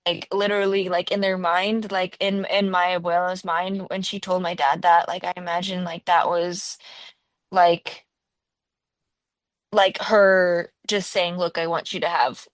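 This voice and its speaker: A woman from Northwest Florida hosting a podcast, telling stories in a casual, animated way and filling her talk with "like". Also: lowish voice, measured pace, no echo. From Northwest Florida, USA. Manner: animated storyteller, heavy use of "like", acts out quotes. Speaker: podcast host. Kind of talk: casual conversational interview.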